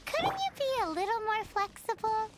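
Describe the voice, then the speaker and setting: A woman's voice: very high-pitched, big pitch swings, normal speed, heavy background noise. A woman voicing a tiny childlike fairy companion, frank and chatty, in a video game. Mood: questioning. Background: game music.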